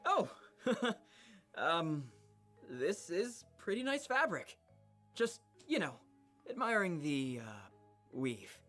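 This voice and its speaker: An actor playing a dorky, considerate teenage boy villain in a visual novel, awkward and halting. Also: sits high, sing-song, a bit bright, faint music behind, exaggerated voice. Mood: sheepish. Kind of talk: visual novel character acting.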